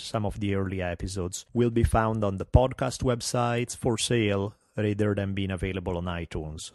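Podcast host, middle-aged man, thick Italian accent, fairly low voice, wry and self-deprecating. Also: a little mellow, no room sound, clean studio sound. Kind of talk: solo podcast monologue.

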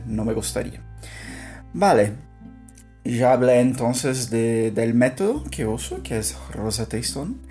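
A Brazilian Portuguese speaker learning Spanish, talking in a halting way, searching for words and laughing softly. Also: medium pitch, vocal fry. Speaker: language learner. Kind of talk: casual spoken practice monologue.

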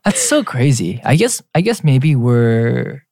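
A man's voice: medium pitch, wildly swooping pitch, takes it slowly, creaky, a little mellow, dry recording, studio quality. A man in his late twenties co-hosting a podcast, chatting casually and teasing his co-host. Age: young adult. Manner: playful, teasing, reads out lists. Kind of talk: casual chat.

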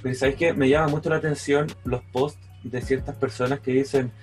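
A man's voice: mid-range voice, flat delivery, brisk, a little mellow, faint music behind. Frank Chilean man, casual chat. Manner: frank, conversational.

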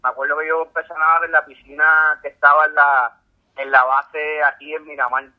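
A man from Puerto Rico with a Puerto Rican accent, a guest telling his story calmly, with many fillers. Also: a little high, a little fast. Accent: Puerto Rican Spanish. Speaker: guest, ultramarathon swimmer. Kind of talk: casual interview. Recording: tinny phone-like call audio.

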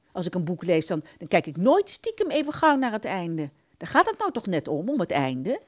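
An actress plays a puzzled older lady in a radio comedy sketch. Her pitch swings in a lively way as she stammers and repeats herself, apologetic yet stubbornly persistent. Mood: disappointed. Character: fussy, hesitant older woman pensioner, puzzled and thrifty. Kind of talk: radio play dialogue.

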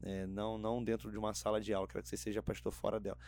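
A young man from Rio de Janeiro state with a Carioca accent, a podcast guest chatting in a relaxed, rambling way full of fillers and restarts. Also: on the low side, very fast, roomy sound. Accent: Carioca Brazilian Portuguese. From Rio de Janeiro state, Brazil. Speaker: podcast guest, theology graduate. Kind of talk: casual chat.

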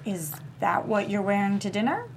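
A woman's voice: medium pitch, sing-song, normal speed. An exasperated grown daughter, read by a woman at a script reading, restrained and polite. Her voice sits in the middle range with a wry, natural delivery and faint background noise. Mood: patient, wry. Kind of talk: scripted table read acting.

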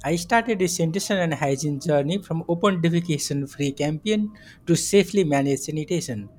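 A man, a project coordinator speaking in a measured, methodical way on a formal panel. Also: a little high, darkish tone. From Nepal.

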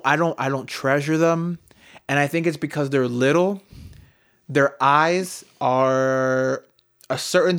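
A man's voice: fairly high pitch, fairly bright tone. A man co-hosting a talk show in casual, opinionated banter, speaking bluntly with jokes and swearing.

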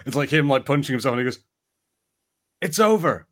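A man's voice: mid-range voice, level tone, rapid-fire, fairly bright tone. A man co-hosting a podcast, chatting casually about films with an enthusiastic, conversational way of telling things. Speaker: podcast co-host. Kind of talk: casual review chat.

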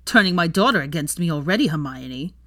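A woman's voice: low voice, animated, a little fast, a bit bright, dry recording, studio quality. Woman reading as a bitter, stubborn, emphatic man. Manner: nasty, emphatic. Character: bitter, stubborn, sneering man, estranged husband and father. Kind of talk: dramatised fan fiction reading.

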